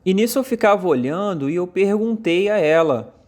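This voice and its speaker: Halting Brazilian man voicing a talk. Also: high voice, expressive, darkish tone. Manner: halting phrase-by-phrase delivery with frequent fillers. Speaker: sign language voice interpreter. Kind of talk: voicing a deaf speaker's talk in first person.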